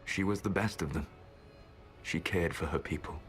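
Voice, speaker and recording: A proud, secretive ancient elf mage speaks slowly and gravely, every word weighed. His voice sits very low, and a swelling game score plays behind him. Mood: solemn. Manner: measured, grave, quietly regretful. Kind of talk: video game voice acting. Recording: game audio with music score.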